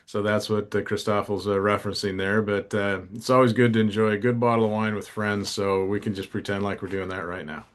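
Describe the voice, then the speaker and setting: A man's voice: fairly low pitch, little pitch movement, a little fast, few pauses. A man speaking as a podcast host, introducing and interviewing a guest. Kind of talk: scripted intro and conversational interviewing. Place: wine cellar used as a studio.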